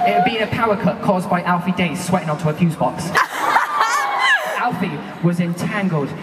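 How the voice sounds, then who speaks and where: A woman's voice: lowish voice, moderate pace. Young woman reading theatrically to a roaring crowd. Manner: theatrical, giggly, exaggerated delivery. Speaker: performer reading fan fiction aloud to a live audience. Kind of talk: comic dramatic reading to a live crowd. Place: large echoing hall with a live audience. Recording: echoey hall from far off, loud crowd noise. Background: loud laughing, cheering crowd.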